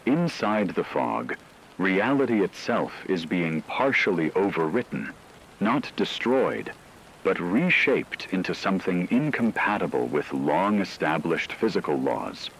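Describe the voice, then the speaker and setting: A man playing a grave radio news anchor, delivering a scripted report in a solemn, formal, measured way. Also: deep voice, very animated, a bit bright, exaggerated voice. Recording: noisy, rain-like background ambience.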